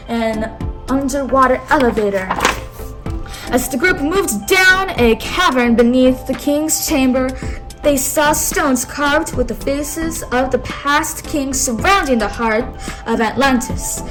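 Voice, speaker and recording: A woman reading a picture book aloud in a clear, teacherly way, pausing to have a child repeat key words. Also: high-pitched, lively intonation, music in the background, low-quality recording. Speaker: read-aloud host.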